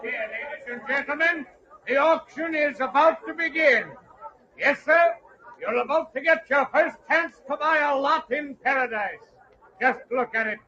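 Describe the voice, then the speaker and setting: A florid male auctioneer in a vintage radio drama. His voice is very high and bright, and he declaims like a grand showman. The recording is dull and dated. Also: a little slow, some chatter behind, heavily acted. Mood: grandly exhorting. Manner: flowery, declamatory. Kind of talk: old-time radio drama acting, oratorical sales pitch.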